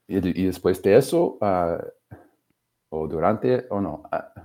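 Slow, halting man with a deep voice. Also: lively intonation, warm tone. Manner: halting, searching for words, many fillers. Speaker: singer-songwriter guest. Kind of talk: interview answers.

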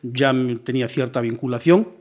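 A man's voice: medium pitch, moderate pace, darkish tone. A middle-aged man from Galicia, talking expansively as a guest in a casual radio interview, often tagging his phrases with "eh?" and repeated yeses. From Galicia, Spain. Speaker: guest, police commissioner.

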